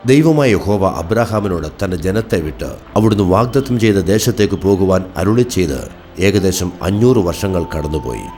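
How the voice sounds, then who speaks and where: A man with a deep voice delivers scripted, dramatic story narration. Soft music runs faintly behind his voice. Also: lively intonation, normal speed.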